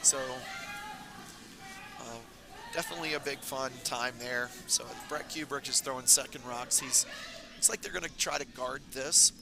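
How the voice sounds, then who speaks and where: A man commentating a curling tournament stream in a casual, rambling way, his voice raspy and hoarse from talking all weekend. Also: medium pitch, moderate pace. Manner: relaxed, rambling, many fillers. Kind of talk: casual live sports commentary. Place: curling club.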